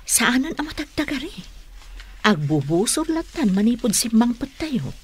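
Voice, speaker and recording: A woman's voice: a little high, very animated, moderate pace, slight background noise. A woman playing a lady's female companion in an Ilocano radio drama. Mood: concerned. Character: older-sister-like female companion of the young lady.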